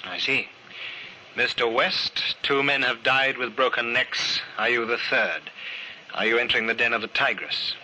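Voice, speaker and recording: A sharp-voiced, sceptical police inspector. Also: male voice, mid-range voice, poor audio. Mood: dryly sceptical. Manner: measured, probing, dry understatement. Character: shrewd, courteous, quietly sceptical police inspector. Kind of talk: old-time radio drama acting. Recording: hissy, sharp old radio recording.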